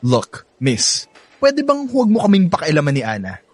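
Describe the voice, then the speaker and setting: Arrogant young Filipino womanizer, very high, animated, taunting, radio drama. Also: moderate pace, slightly rough, no echo, full character voice. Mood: mocking. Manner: arrogant, mocking. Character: cocky, indignant young womanizer, sneering and taunting.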